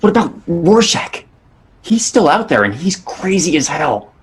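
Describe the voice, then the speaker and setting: An actor playing a jaded, irritable police detective in a fan-made drama dialogue. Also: male voice, fairly high pitch, sing-song, measured pace, slightly rough, full character voice. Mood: exasperated.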